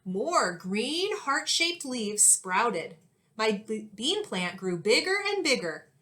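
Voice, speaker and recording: A woman in her thirties, an elementary teacher reading a storybook aloud and giving instructions in a clear, expressive way for young children. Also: a little high, takes it slowly. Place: small indoor classroom-like room.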